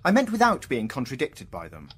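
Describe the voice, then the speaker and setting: Man playing a husky, troubled English scientist. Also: medium pitch, wildly swooping pitch, fairly quick, exaggerated voice. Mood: reflective, insistent. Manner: intense, questioning, swinging from hushed musing to outbursts. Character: troubled, proud, indignant British wartime scientist and codebreaker. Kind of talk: theatrical radio drama dialogue.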